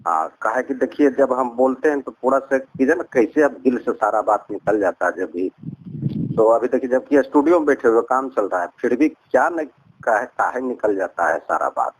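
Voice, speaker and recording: A man's voice: medium pitch, moderate pace, husky, dry recording. A man with a Bihari accent on a recorded phone call, talking earnestly and at length about personal matters, circling back and repeating his points. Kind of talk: rambling personal account in conversation.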